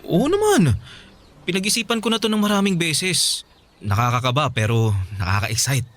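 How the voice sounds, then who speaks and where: An actor playing an earnest, sheepish boyfriend with big dreams in a radio drama. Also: male voice, a little high, sing-song, normal speed, a bit bright. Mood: determined, excited. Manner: hesitant openings, earnest explaining. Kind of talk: radio drama acting, conversational.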